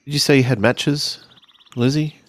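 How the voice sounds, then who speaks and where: A man playing a tabletop roleplaying game, narrating his character's actions haltingly as he searches for words. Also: mid-range voice, steady tone.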